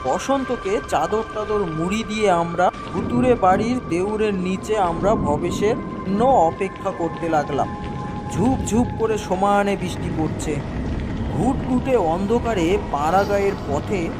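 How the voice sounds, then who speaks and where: Slow, animated horror storyteller. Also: mid-range voice. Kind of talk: expressive literary horror story narration. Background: background music.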